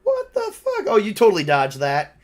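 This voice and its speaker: A man's voice: high-pitched, wildly swooping pitch. Casual man running a tabletop game. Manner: casual, halting, swearing. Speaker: game master and player. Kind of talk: narrating a tabletop horror game, improvised.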